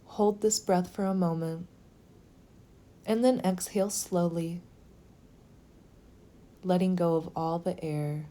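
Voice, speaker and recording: A woman leading a guided meditation in a slow, gentle, soothing manner, with phrases sometimes softening toward a whisper. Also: fairly low pitch, steady tone.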